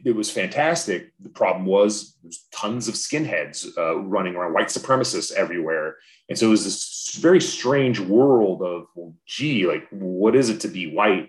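Earnest man in his forties, low-voiced. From Southern California. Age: 40s. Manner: reflective, earnest, frequent restarts and repeated words. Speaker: podcast guest. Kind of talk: casual interview conversation.

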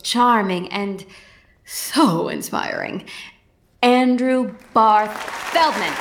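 A woman playing an effusive, theatrical Broadway director giving a gushing, grandiose speech with dramatic pauses. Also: medium pitch. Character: effusive, theatrical female Broadway director addressing an opening-night crowd. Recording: echoey stage microphone with noisy crowd.

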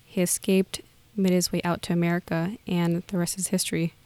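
A woman guest answering interview questions, calm, matter-of-fact and wry. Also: fairly low pitch, fairly quick, no echo. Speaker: guest, author.

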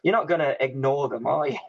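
Guy with a husky voice chatting, thinking out loud, bit muffled. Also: mid-range voice, brisk. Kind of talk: casual chat.

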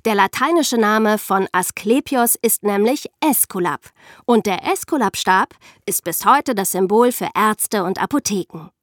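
A woman with a medium-pitched voice tells history as a podcast presenter would. She speaks in a conversational, explanatory storytelling style. The sound is dry, with no room echo. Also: lively intonation, unhurried.

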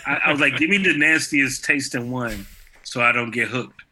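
A man's voice: medium pitch, moderate pace, sharp tone. A man in his thirties hosting a casual chat, teasing his guests and laughing easily. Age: 30s.

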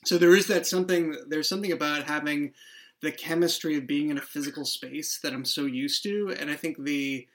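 A man's voice: fairly high pitch, steady tone, a little fast, a bit bright. A man, the podcast's creator and writer, speaking in a casual interview with dry humor and earnest reflection.

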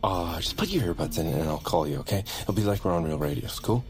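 Casual amateur sleuth, brisk and fairly low, for an audio comedy. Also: male voice, animated, gravelly. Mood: breezy. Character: breezy, boyish amateur stakeout partner talking detective slang. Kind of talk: comedy audio drama acting.

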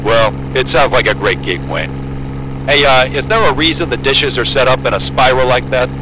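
A man's voice: mid-range voice, normal speed, lightly acted. A man playing a wry, down-to-earth helicopter pilot in an audio drama, plain-spoken and joking in a naturalistic way. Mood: friendly. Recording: loud engine hum of a helicopter cabin.

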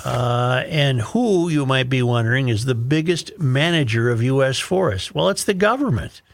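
Male American talk host, mid-pitched, emphatic and opinionated, delivering read-aloud commentary. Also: lively intonation, normal speed, creaky. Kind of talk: reading an article aloud with commentary.

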